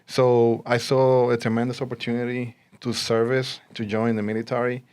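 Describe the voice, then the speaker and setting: A man's voice: mid-range voice, little pitch movement, moderate pace, darkish tone, smooth. A man in his forties from Puerto Rico, with a Puerto Rican accent, answering interview questions in a conversational way as a guest. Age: 40s. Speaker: interview guest, realtor and army veteran.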